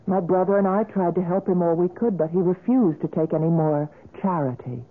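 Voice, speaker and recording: An actress playing a kind, concerned gallery keeper in a 1970s radio drama, polite, earnest and gentle. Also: female voice, on the low side, brisk, close to an everyday voice. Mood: wistful narrating. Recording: thin lo-fi old radio broadcast.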